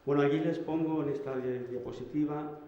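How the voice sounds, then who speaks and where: A man from Madrid giving a public lecture, measured and hesitant, with fillers. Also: on the high side, fairly even intonation, slight background noise. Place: municipal hall. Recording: echoey hall, lo-fi.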